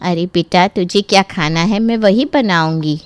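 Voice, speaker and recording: A woman's voice: lowish voice, measured pace, lightly acted. A woman voicing a practical middle-aged mother in a cartoon story, coaxing and explaining as she talks. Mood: coaxing, concerned.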